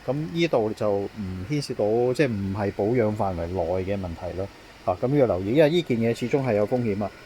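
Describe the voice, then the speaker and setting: A man's voice: on the low side, animated, quick pace, warm tone, low-quality recording. A man with a Hong Kong Cantonese accent explains a device setup informally as an installer, repeating his points as he goes. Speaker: intercom installer, technician. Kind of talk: informal product demonstration and handover explanation. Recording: clear background noise, on-site ambience.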